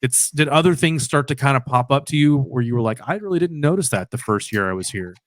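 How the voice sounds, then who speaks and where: A man's voice: mid-range voice, lively intonation, fast, no room sound. A young man hosting a podcast from home, asking long, winding questions in a chatty way. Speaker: podcast host. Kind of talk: podcast interview. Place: home room with headset mic. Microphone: studio mic on stand.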